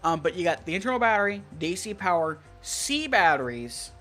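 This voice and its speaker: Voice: medium pitch, big pitch swings, fairly quick, fairly bright tone, faint music behind. Rambling, opinionated American radio enthusiast. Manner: opinionated, rambling, listing features. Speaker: stream co-host, weather radio collector. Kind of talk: casual enthusiast chat with technical detail.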